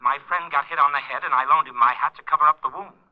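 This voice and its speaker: A man's voice: a little high, fast talker, close to an everyday voice. An actor playing a nervous, evasive married man with a hesitant, stammering manner in an old-time radio drama. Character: nervous, evasive henpecked husband. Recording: muffled, tinny old radio recording.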